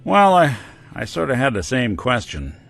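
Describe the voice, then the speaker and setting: A man's voice: mid-range voice, wildly swooping pitch, moderate pace, creaky, fairly bright tone, soft background music, exaggerated voice. An actor playing a weary male synth private detective with a dry, laid-back wit, in video game dialogue. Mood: wry.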